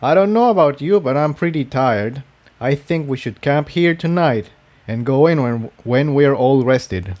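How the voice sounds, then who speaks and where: A man's voice: mid-range voice, animated, unhurried, a little mellow, close to an everyday voice. A man voicing a good-humored male pony adventurer with a casual, wry manner in a story reading. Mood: jovial.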